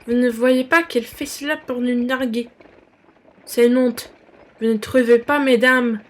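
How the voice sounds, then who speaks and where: A woman as a haughty, high-voiced bourgeois wife. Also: fairly even intonation, measured pace, lightly acted. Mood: scornful. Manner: scornful, sharp-tongued. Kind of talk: French radio play acting.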